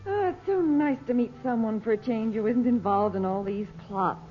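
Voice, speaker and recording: An actress playing a gracious, spirited mother and gently teasing sister in a 1940s radio drama. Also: fairly high pitch, normal speed, lightly acted. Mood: firm, wistful. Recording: dull old radio recording.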